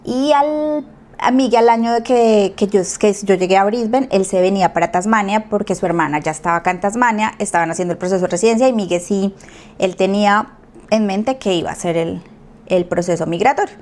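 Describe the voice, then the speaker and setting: A woman's voice: medium pitch, brisk. Colombian woman telling her story. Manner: animated, acts out quoted speech, laughs easily. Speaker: guest. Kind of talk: casual storytelling.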